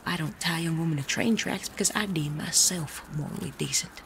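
A sardonic gentleman outlaw from a western audio drama, acted by a woman. The voice is gravelly and articulate, smoothly persuasive in manner. Clear noise runs behind it. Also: low voice, brisk. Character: suave, sardonic gentleman bandit of the Wild West.

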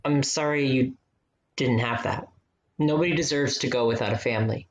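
Radio play friend: male actor as supportive young man, medium pitch, reassuring and conversational. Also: normal speed, lightly acted. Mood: reminiscing, gentle.

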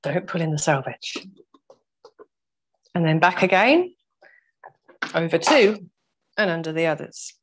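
A woman with a croaky voice teaching a weaving workshop over a video call, patiently explaining each step and laughing off her own mistakes. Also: lowish voice, animated, measured pace, long pauses, slight background noise, poor audio. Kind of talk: step-by-step craft demonstration. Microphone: laptop mic over video call.

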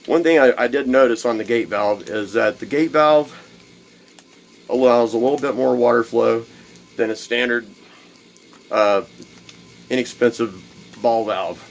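A man's voice: medium pitch, a little slow, long pauses, slight background noise. Rambling man explaining a workshop build. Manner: rambling, repeats words, many fillers. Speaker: DIY video host. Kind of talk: casual workshop how-to demonstration. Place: cluttered home workshop at a workbench.

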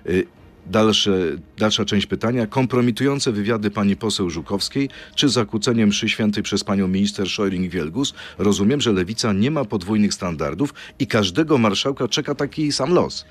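A man's voice: lowish voice, moderate pace. A middle-aged man interviewing a politician in a radio studio, firing pointed, challenging questions into a desk microphone.

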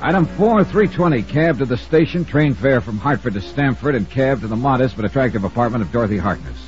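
A male actor plays a brisk American insurance investigator in a 1950s radio drama. His delivery is wry, clipped and hard-boiled, with lively swings in pitch. The old broadcast sounds muffled, with some music. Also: close to an everyday voice. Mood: businesslike. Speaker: star of a radio detective serial. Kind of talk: 1950s radio acting, first-person narration.

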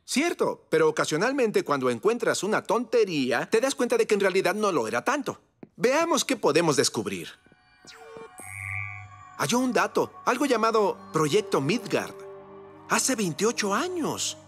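A man playing an enthusiastic, scholarly inventor father in a cartoon dub, with an expressive, professorial manner. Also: high-pitched, moderate pace, soft background music. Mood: musing.

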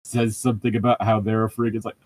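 A man's voice: on the low side, little pitch movement, fast, a little mellow. A man co-hosting a podcast in casual chat, opinionated and often laughing as he talks. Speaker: podcast co-host.

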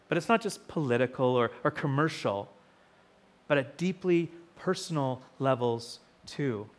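A man in his thirties with a sore, fading voice, preaching a sermon at a lectern in a small church hall, telling stories with lively quoted voices. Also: a little high. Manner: storytelling, animated quoted voices. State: sore throat, losing his voice. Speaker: pastor. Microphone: lectern mic, far camera.

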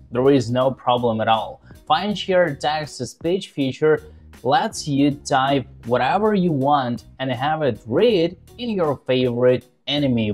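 Upbeat guy in his 20s doing a tutorial, really animated intonation, bit of music under it. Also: medium pitch. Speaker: tutorial presenter. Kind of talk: scripted tutorial narration to camera.

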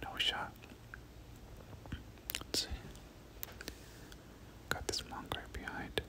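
Whispered ASMR gaming commentary. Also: slow pace. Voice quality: breathy whisper. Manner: repeats words and short phrases over and over. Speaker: gaming video host.

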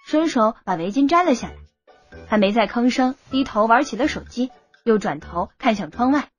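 A woman's voice: on the high side, dull audio. A woman narrating a scripted story as an audiobook reader.

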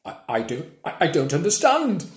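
A man's voice: a little high, huge pitch swings, moderate pace. A man reading a storybook aloud, voicing a confused elderly man who talks in a stammering, old-fashioned formal way. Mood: bewildered. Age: older. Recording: roomy echo.

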